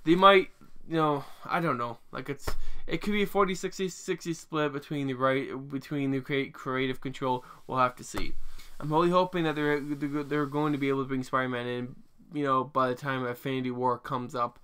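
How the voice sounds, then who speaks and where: Bright-voiced young man rambling casually. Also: mid-range voice, fairly even intonation, normal speed, smooth. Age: 20s. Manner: rambling, many restarts and fillers, swears casually. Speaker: vlogger. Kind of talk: casual rambling opinion vlog.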